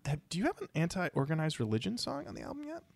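Guy doing a blunt studio producer type, intense, demanding, swingy pitch, dry sound. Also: wildly swooping pitch, quick pace, creaky, no room sound, exaggerated voice. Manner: blunt, pushy. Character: intense, demanding, self-important male record producer.

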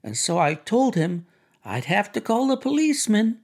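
Man voicing a high, gushing, brassy woman. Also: very animated, normal speed, heavily acted. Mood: giddy, thrilled. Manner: gushing, theatrical, breathless chatter. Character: brassy, gushing, vulgar social-climbing woman, excitable and affected. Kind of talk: audiobook character voice.

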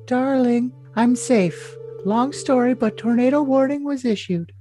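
Clingy, girlish young woman, played by a man, very high voice, cutesy and melodramatic podcast reading. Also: measured pace, dark tone, faint music behind. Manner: cutesy, theatrical, overly dramatic. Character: girlish, clingy, babyish young woman texting her boyfriend. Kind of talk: overly dramatic reading of text messages.

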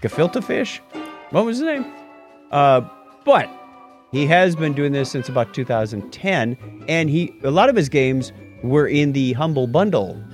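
Man, tech podcast co-host, animated pitch, rambling chat, self-correcting. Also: a little slow, soft background music.

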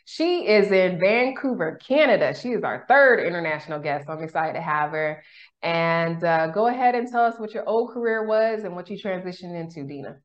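A woman's voice: fairly low pitch, expressive, normal speed, lo-fi sound. A woman hosting a podcast, asking questions in a loose, casual way and backtracking as she goes. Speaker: podcast host. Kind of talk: casual interview chat.

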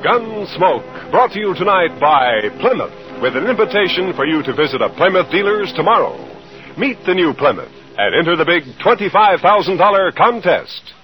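An American man introduces a vintage radio drama. His delivery is dramatic and widely varied in pitch. Clear music plays behind him. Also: sing-song, normal speed, rough recording.

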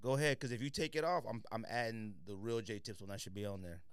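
A man's voice: fairly low pitch, fast, smooth, no room sound, studio quality. A man hosting a casual podcast debate, opinionated and bantering in informal slang. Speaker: podcast host. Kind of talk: casual group debate about sneakers.

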